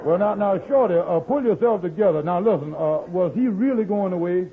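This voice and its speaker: An actor playing a concerned, coaxing friend in 1950s radio comedy, raspy and hesitant, in folksy ungrammatical dialect. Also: male voice, sits high, expressive, brisk, a faint crowd, a little hiss or hum. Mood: puzzled. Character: concerned, coaxing friend searching for a missing pal. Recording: band-limited, tinny old radio recording.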